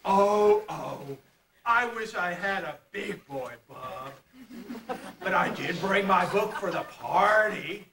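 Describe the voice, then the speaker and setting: A man playing a cowardly, affectionate lion in a puppet show, with a slow, plaintive delivery. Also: high-pitched, sing-song, creaky, a crowd in the background, full character voice. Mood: whimpering. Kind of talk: amateur puppet-show acting. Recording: distant echoey noisy home video.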